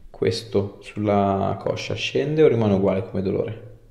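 A man's voice: lowish voice, measured pace, vocal fry, dark tone. A man, working as a chiropractor and giving informal, short coaxing instructions to a patient. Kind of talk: hands-on clinical instructions and casual chat with a patient. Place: small indoor clinic treatment room. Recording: echoey small treatment room.

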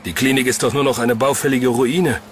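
A man's voice: medium pitch, steady tone, brisk, a little hiss or hum, natural voice. A man playing a gossipy, sceptical passer-by in a horror audio drama, chatty and knowing. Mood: chatty, puzzled. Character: sceptical, gossipy male passer-by, mildly mocking.

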